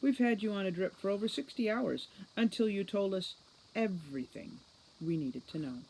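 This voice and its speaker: A man's voice: high voice, lively intonation, normal speed, gravelly, a little mellow, pitched above the performer's own voice, exaggerated voice, poor audio. A man voicing a smug, cold, precocious boy criminal mastermind in an audiobook, with formal, sardonic condescension. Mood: cold taunting. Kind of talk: audiobook character reading.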